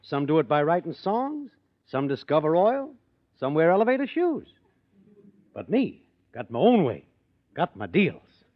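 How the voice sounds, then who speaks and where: An actor playing a lazy, cocky small-time schemer in a 1940s radio comedy, slangy and breezy. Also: male voice, fairly high pitch, huge pitch swings, unhurried, long pauses. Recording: muffled 1940s radio broadcast.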